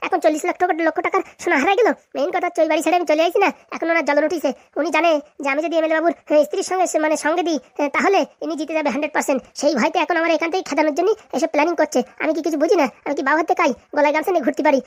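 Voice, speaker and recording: A woman plays a cheeky cartoon villager. The words pour out extremely fast in long, sarcastic, indignant arguments that talk back to authority. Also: high voice, fairly even intonation, no echo, lightly acted. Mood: defiant. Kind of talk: comic cartoon dialogue.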